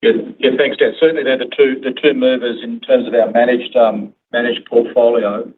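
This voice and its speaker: A man speaking as a company executive answering questions on an earnings call, in a halting way with repeated words. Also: mid-range voice, flat delivery. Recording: phone-like conference line, clear noise.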